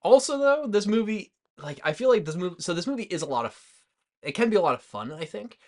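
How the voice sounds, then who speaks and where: A man's voice: high-pitched, big pitch swings, quick pace. A tipsy man co-hosting a podcast, chatting casually about movies in a rambling, hesitant way. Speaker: podcast co-host.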